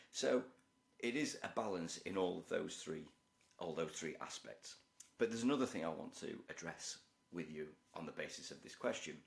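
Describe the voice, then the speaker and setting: A man with a croaky voice, explaining his points to camera in a measured, structured way. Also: low voice, roomy sound. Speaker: youth football researcher and parent educator. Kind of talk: direct-to-camera talk.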